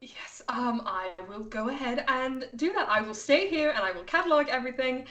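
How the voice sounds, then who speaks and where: A woman playing a flustered, frazzled space officer who talks in a halting, evasive way in an improvised game. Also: high-pitched, expressive, fairly bright tone, echoey room, pitched above the performer's own voice. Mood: sheepish, weary.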